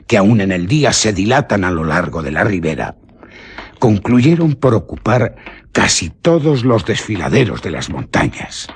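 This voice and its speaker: Man, radio horror narrator, low pitch, very rough husky timbre, theatrical suspenseful delivery. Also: very animated. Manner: theatrical, deliberate, suspenseful phrasing. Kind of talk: dramatic literary narration of a horror tale.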